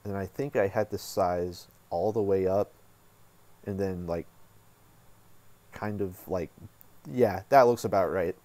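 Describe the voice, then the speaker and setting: A man hosting a casual software tutorial, talking informally and thinking aloud as he works. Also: deep voice, slow, pauses often, no echo. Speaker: tutorial host.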